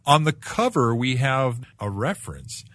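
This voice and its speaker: A man's voice: mid-range voice, very animated, unhurried, fairly bright tone, no room sound. A man co-hosting a casual comics chat, talking in a wry, mock-serious way. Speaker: podcast co-host.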